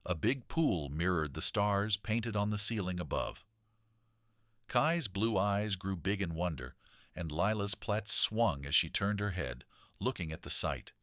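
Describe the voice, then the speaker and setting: A man reading a children's story aloud in an expressive, dramatic storytelling manner. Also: on the low side, no echo, clean studio sound. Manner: dramatic, animated delivery.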